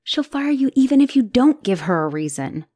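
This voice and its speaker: A woman's voice: fairly high pitch, big pitch swings, moderate pace, warm tone, husky, no room sound. A woman voicing an anxious, self-doubting young spider-woman girlfriend in an ASMR roleplay, speaking hesitantly and emotionally and often slipping toward a whisper. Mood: alarmed, fearful.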